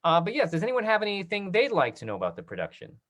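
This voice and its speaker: A woman's voice: on the low side, wildly swooping pitch, fast. A woman moderating an informal question session over a video call. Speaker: discussion moderator. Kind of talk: hosting an online Q&A.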